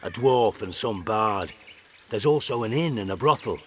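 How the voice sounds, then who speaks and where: An actor playing a wary, officious town guard in a fantasy video game. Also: male voice, fairly low pitch, animated, moderate pace, fairly bright tone, slight background noise. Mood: offhand. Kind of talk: dramatic video game acting.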